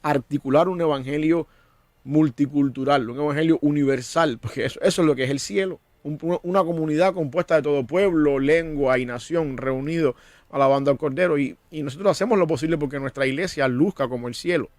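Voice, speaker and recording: Cuban-born pastor guy, earnest, kinda high voice, dry close sound. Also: fairly quick, a little mellow, no room sound. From Cuba. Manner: earnest, preacherly, repeats words when starting. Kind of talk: conversational discussion.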